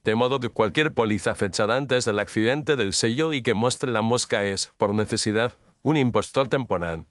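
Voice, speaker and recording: A man's voice: lowish voice, normal speed, no echo, clean studio sound, close to an everyday voice. A man playing an ironic, incisive Victorian detective in a scripted audio drama, speaking in sententious lines.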